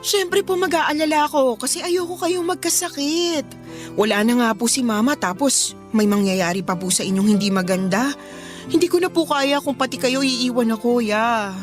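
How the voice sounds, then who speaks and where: A caring young wife acted by a woman pleads earnestly in a high voice. She sounds devoted, respectful and anxious, in radio drama style with light music underneath. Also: moderate pace, natural voice. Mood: worried. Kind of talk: Filipino radio drama acting.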